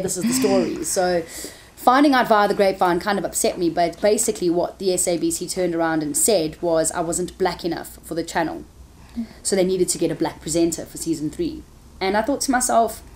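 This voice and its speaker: A woman's voice: fairly low pitch, a little mellow. A woman, a TV presenter answering interview questions frankly, with laughing asides. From South Africa.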